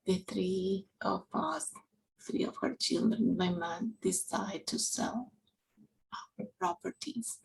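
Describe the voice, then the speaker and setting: Peruvian lady in her 40s telling her story at church, lowish gravelly voice, goes soft and whispery sometimes. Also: poor audio. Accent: Peruvian Spanish. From Peru. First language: Spanish. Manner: slow, earnest and halting, with soft whispered stretches. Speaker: parish council member giving a reflection. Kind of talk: personal testimony for a novena.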